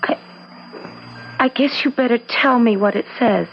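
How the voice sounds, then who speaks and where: A woman's voice: on the high side, a little slow. An actress playing an anxious, pleading young American woman, emotional and hesitant, in an old-time radio drama. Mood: uneasy. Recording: muffled vintage radio, loud noise behind.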